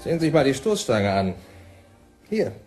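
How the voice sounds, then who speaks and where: Actor as animated, folksy car expert. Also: male voice, mid-range voice, normal speed, faint music behind, lightly acted. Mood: insistent, animated. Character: insistent male car-damage expert, folksy and vivid. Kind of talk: German radio crime drama acting.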